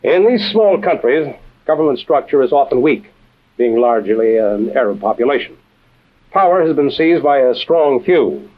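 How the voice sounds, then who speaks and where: An actor playing a composed, authoritative intelligence chief and adventure storyteller, measured and dry, in a vintage radio drama with tinny sound. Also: male voice, medium pitch, expressive, lightly acted. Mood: grave, explanatory. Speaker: host and narrator-character. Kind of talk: old-time radio adventure drama acting.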